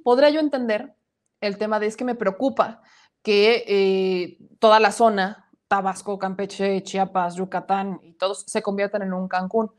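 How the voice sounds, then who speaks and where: A woman's voice: mid-range voice, darkish tone. A woman in her thirties hosting a live-streamed news show from a small home studio, reading viewer comments aloud and adding emphatic opinions.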